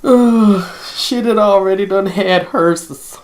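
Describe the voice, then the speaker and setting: A man hosting a let's-play video, reacting in casual chat with slow, expressive remarks. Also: high-pitched, rough recording. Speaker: channel host.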